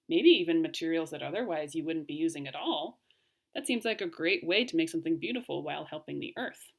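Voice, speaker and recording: Expressive woman reading aloud, very lively pitch. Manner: expressive, clearly phrased for young listeners. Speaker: teacher reading aloud to pupils. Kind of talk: read-aloud storytelling and lesson talk for children.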